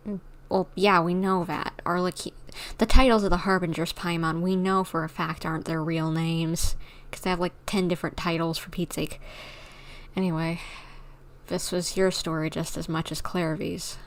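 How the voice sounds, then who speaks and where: Lady streamer chatting over a game, lowish voice w lots of fry, informal, thinks out loud. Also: little pitch movement, normal speed. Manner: informal, conversational, thinking aloud. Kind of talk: casual livestream commentary and dialogue read-along.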